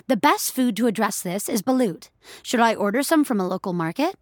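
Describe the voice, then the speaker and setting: A woman's voice: on the high side, expressive, a little fast, dry recording, studio quality, close to an everyday voice. Woman voicing a politely insistent health app assistant. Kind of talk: scripted app prompts in audio fiction.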